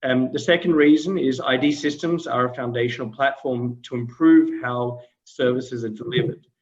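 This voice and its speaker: A man's voice: medium pitch, steady tone, normal speed, lo-fi sound. A man from Australia, giving a hesitant explanatory answer on a webinar, often repeating words. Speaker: webinar panelist.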